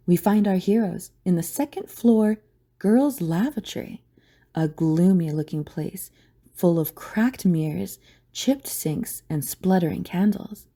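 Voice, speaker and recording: A woman's voice: fairly low pitch, animated, measured pace, warm tone. A woman reading scripted, dramatic story narration for an audio drama.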